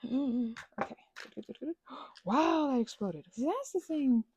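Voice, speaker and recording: A woman rambling playfully in casual ASMR craft chat, scatterbrained and often interrupting herself, in a husky, breathy voice that often drops toward a whisper. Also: high-pitched, lively intonation, a little slow, a little mellow, dry recording. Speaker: ASMR creator.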